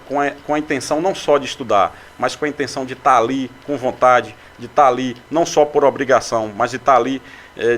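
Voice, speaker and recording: A man's voice: medium pitch, a bit bright, slight background noise. Hesitant Brazilian councilman on radio. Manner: hesitant, many fillers and restarts. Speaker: city councilman and mayoral candidate. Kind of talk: radio interview answers. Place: local radio studio.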